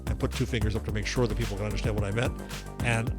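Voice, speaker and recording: A man's voice: on the low side, fairly even intonation, racing, music in the background. An older man co-hosting a podcast in casual chat, wry and digressive, telling stories. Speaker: podcast co-host.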